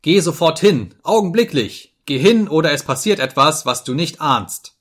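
A man voicing an overwrought, proud master who shouts furious, repetitive commands at his servant in an audiobook story. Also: fairly high pitch, lively intonation, normal speed, voice pushed up. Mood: hysterical.

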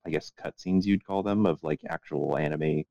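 A man's voice: extremely low pitch, normal speed, gravelly, warm tone, no room sound, highs cut off. A man co-hosting a casual podcast in a laid-back, hesitant way, often hedging what he says. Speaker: podcast co-host.

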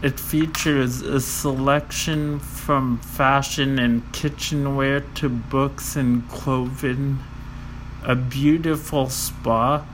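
Very slow, halting man reading a list. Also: mid-range voice, fairly bright tone, a little hiss or hum, rough recording. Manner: slow, halting, with many fillers and self-corrections; stumbles over foreign names and apologizes. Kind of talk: reading a list of world records.

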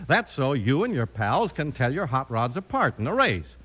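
A man's voice: medium pitch, very animated, normal speed, fairly bright tone, slightly rough. An American man announcing and narrating on 1950s radio with punchy, eager delivery.

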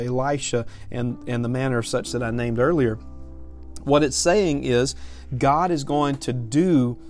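A man in his thirties speaks as a podcast preacher over plucked guitar music. His voice sits at a medium pitch. He teaches in a conversational way, stressing points firmly and often repeating a phrase before finishing it. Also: darkish tone. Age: 30s.

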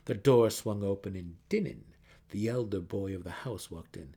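A man's voice: deep voice, normal speed, gravelly, dark tone, husky. A man reading a fantasy novel as a narrator in a theatrical, emphatic way. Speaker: audiobook narrator.

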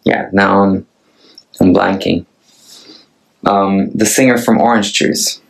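A man in his thirties with a Norwegian accent speaks at home in a low, gravelly voice. He talks very slowly and thoughtfully, pausing often and restarting his sentences. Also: steady tone, poor audio. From Norway. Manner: hesitant and thoughtful, many restarts. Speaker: singer-songwriter. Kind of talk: casual chat to camera.

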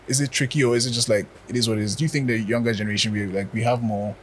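A man's voice: lowish voice, steady tone, very fast, crowd noise. A man from Ghana hosting a podcast, chatty and conversational, with plenty of "you know" and "like". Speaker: podcast host. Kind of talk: casual podcast interview.

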